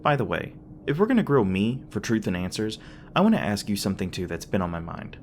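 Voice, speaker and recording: A man's voice: fairly low pitch, very animated, fast talker, slight background noise. A man playing a flustered, tender demon boyfriend in an intimate ASMR roleplay, speaking hesitantly with stammers, bashful and a little defensive. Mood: teasing, relieved. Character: flustered, tender young male demon boyfriend, secretly human-born.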